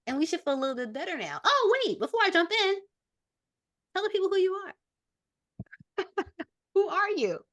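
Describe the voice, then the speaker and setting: A young woman hosting a podcast in casual chat with friends, with a bubbly, excitable manner. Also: very high-pitched, moderate pace, long pauses. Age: young adult. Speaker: podcast host.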